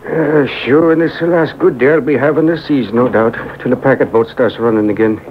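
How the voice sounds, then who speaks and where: A man's voice: normal speed, natural voice. Man playing a wry Irish storekeeper on muffled radio. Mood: grumbling. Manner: lilting, folksy, wry grumbling. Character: wry, talkative Irish trading post keeper. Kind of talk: old-time radio drama acting. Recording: muffled, noisy boombox radio recording.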